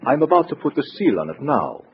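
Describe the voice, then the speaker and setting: An actor playing a suave, cautious communist spy courier, formal and precise, in a 1950s radio drama. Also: male voice, medium pitch, very animated, brisk, lightly acted. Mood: quietly triumphant. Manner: formal, precise, self-assured. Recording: muffled noisy old radio recording.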